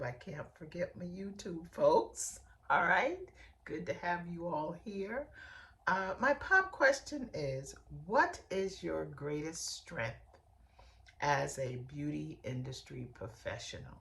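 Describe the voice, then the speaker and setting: A middle-aged woman hosting a motivational podcast lesson, hoarse from a summer cold, with a raspy, bassy voice and a chatty manner that speaks straight to her listeners. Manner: warm, chatty, direct address to listeners. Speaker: podcast host, hairstylist and coach.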